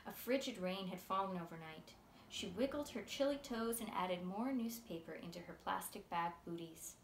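A woman in her thirties reads a story aloud with careful, measured diction. Her voice sits at a medium pitch. She is recorded a little way from the camera in a small room, with audible room echo and slight noise. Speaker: author reading from own book. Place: small furnished room indoors. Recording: roomy sound with faint noise.

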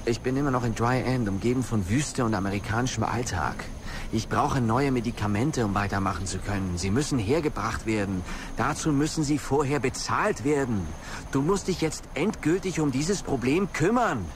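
An actor playing a gloomy, demanding boss in a wheelchair, speaking tersely in a German radio play. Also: male voice, mid-range voice, normal speed, noisy background, close to an everyday voice. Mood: irritated, demanding. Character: weary, irritable male boss in a wheelchair, stranded in desert. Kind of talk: German radio play monologue, message-like delivery.

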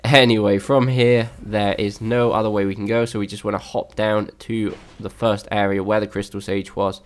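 A man's voice: on the low side, little pitch movement, moderate pace. A young man with a slightly worn voice, casually narrating a game walkthrough with many fillers and restarts. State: slightly lost voice.

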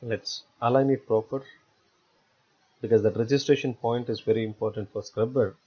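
A man giving step-by-step software tutorial narration as an instructor, in a halting, repetitive explanatory way. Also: medium pitch, steady tone, slightly rough. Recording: dull lo-fi voice-over recording.

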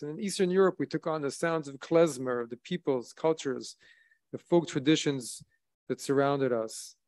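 Man preaching a measured solemn sermon. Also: fairly high pitch. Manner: measured, solemn preaching with rising emphasis on key phrases. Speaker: rabbi. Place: synagogue sanctuary at a wooden lectern, indoors. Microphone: lectern microphone, streamed by video.

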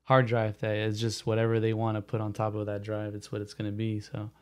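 A man's voice: fairly low pitch, completely flat delivery, fairly quick, a crowd in the background. A young man from San Jose, talking as a podcast guest in a laid-back, slangy way and slipping Spanish phrases into his English. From raised in San Jose, California. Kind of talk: casual conversation.

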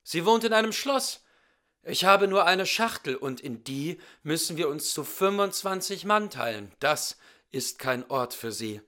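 Man playing a smitten toy soldier, earnest, musing, lively pitch, bright voice, storybook style. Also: a little slow. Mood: smitten, resolute. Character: steadfast one-legged tin soldier, smitten young male toy. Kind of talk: storybook character thoughts in a fairy-tale reading.

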